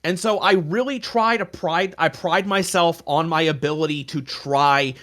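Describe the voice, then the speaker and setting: A man's voice: fairly high pitch, measured pace, a bit bright. A man co-hosting a casual discussion of tabletop roleplaying, telling stories in an earnest, emphatic way. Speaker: podcast co-host.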